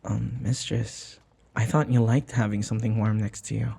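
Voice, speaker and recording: A man acting in an intimate audio roleplay as a devoted young human servant, speaking in a soft, halting, tender and pleading way. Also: fairly low pitch, level tone, vocal fry, a little hiss or hum. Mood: gentle, affectionate.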